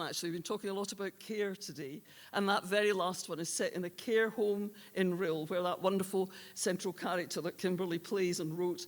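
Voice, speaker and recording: A woman hosting an awards ceremony, giving unscripted closing remarks. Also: fairly low pitch. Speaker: awards host. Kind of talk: warm-worded closing remarks. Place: stage at an awards ceremony in a hall. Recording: echoey hall with faint audience noise.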